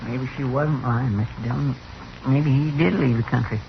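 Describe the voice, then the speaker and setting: An actor playing a meek, folksy deputy sidekick in a 1950s western radio drama, stammering and deferential. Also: male voice, mid-range voice, steady tone, moderate pace, vocal fry, close to an everyday voice. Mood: deferential, flustered. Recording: muffled, noisy vintage radio recording.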